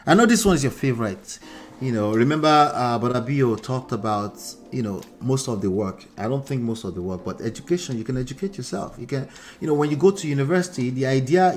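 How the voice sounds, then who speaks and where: A man with a Nigerian accent whose first language is the Akure dialect, hosting a relationship livestream, reading out viewer comments and repeating points with animated emphasis. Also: mid-range voice. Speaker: relationship coach host.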